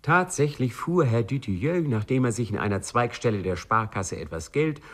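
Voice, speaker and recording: An actor playing a wry, urbane storyteller with a husky voice, narrating a 1950s radio play in a measured, confiding way. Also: male voice, mid-range voice, expressive, close to an everyday voice. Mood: wry, relishing.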